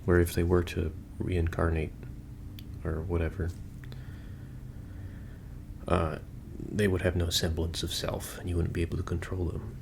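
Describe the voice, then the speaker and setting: An easygoing, goofy male corpse stitcher, played by a man in an audio roleplay, with a very deep voice full of gravelly fry. He rambles haltingly and matter-of-factly, as if chatting to someone on his worktable. Clear background noise runs under the homemade recording. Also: completely flat delivery. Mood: matter-of-fact, casual. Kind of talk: casual roleplay monologue to a listener. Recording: noisy home recording with ambience.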